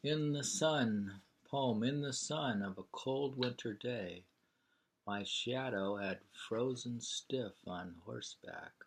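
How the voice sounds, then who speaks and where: A man reading poetry and travel prose aloud informally, in a halting, deliberate way. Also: mid-range voice, husky. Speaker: reader of a poetry travel sketch.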